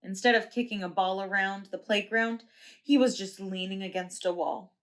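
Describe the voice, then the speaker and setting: A preschool teacher reading a children's picture book aloud, clear, slow and expressive. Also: female voice, medium pitch.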